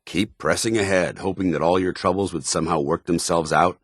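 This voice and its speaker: An actor playing an earnest, honour-bound soldier paladin in a video game, with a firm voice and grave, formal, deliberate delivery. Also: male voice, sits low, fairly even intonation, slightly rough, dry recording, studio quality. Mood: despairing. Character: earnest, honour-bound military paladin, a synthetic man troubled by his identity. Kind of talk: dramatic video game dialogue.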